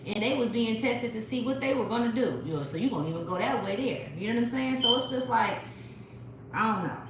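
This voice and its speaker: A woman's voice: fairly high pitch, lively intonation, fast talker. A woman hosting a spiritual livestream, talking straight to her viewers in a profane, preachy way on a phone. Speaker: livestream host. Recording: very echoey room with noise.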